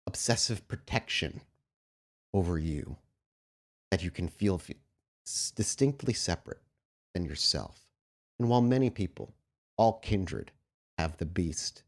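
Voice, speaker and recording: A man with a fairly low voice runs a tabletop horror game as its storyteller. He explains and describes things in deliberate, dramatic phrases, speaking as the game master to his players.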